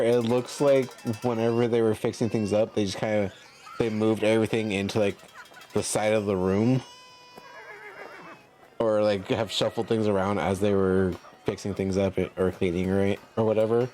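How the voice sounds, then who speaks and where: Low-voiced man running a tabletop game. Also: fairly even intonation, moderate pace, a little mellow. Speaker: game master. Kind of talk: improvised tabletop game narration.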